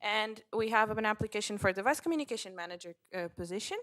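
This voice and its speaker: A woman's voice: mid-range voice, expressive, quick pace, a bit bright. A woman acting as chair of a meeting, moderating informally and giving procedural instructions.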